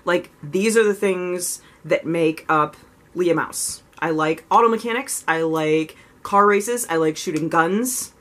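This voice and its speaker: A woman vlogging casually and frankly about herself, rambling with lots of "like" and "you know", her voice low and lifted a little above its natural register. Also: measured pace, fairly bright tone. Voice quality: low voice she raises slightly higher than natural. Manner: frank, self-reflective. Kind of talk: casual confessional vlog.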